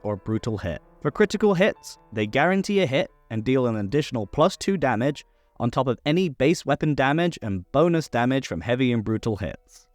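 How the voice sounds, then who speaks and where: A man presenting a tabletop game rules guide in a methodical, scripted explainer style. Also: medium pitch. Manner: clear, methodical instructional delivery. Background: background music.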